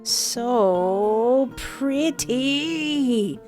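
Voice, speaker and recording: A woman's voice: a little high, expressive, very slow, darkish tone, soft background music. A young woman reading a visual novel aloud and breaking in with playful, joking asides. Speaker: game streamer.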